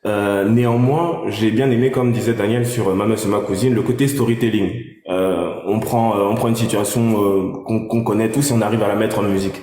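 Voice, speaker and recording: Echoey reverberant recording, man, lowish voice, panel guest, casual reflective chat. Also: fairly even intonation, brisk, warm tone. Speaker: guest panelist, music artist. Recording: echoey, long room reverb.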